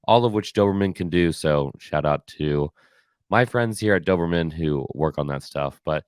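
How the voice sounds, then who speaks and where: Raspy low-voiced male podcast host. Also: no room sound, clean studio sound. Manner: halting, many fillers and restarts. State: slightly hoarse from cheering. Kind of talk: solo casual year-in-review talk.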